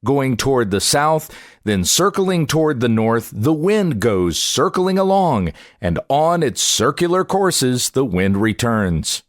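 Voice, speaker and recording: A man reads scripture aloud like a pastor narrating an audiobook, in a measured, solemn, deliberate way. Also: medium pitch, very animated, no room sound, clean studio sound.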